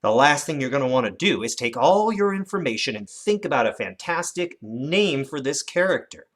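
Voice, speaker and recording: A teacher giving an instructional lesson for children, with animated, expressive storytelling emphasis. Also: medium pitch, normal speed. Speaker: elementary teacher.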